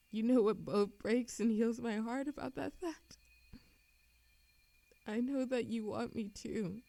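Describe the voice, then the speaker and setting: A woman performs a world-weary immortal woman hopelessly in love, in a romantic audio drama. She speaks slowly and with dramatic yearning, at a medium pitch. The sound is dry and close, with no room around it. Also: dark tone, dry recording. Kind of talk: scripted romantic audio roleplay acting.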